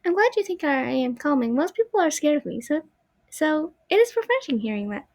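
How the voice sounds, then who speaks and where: High soft childlike puppet girl, shy and haunted, pitch jumps all over, dry sound, a girl putting it on. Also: very animated, fairly quick, no room sound. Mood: pleased. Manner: timid, pleading when scared.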